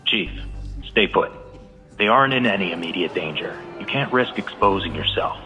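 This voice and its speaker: A man voicing a cautious agent who speaks in a hushed, urgent, steady way, in a game cutscene. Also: low voice, moderate pace, soft background music. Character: cautious male agent urging restraint. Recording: dull reverberant game audio.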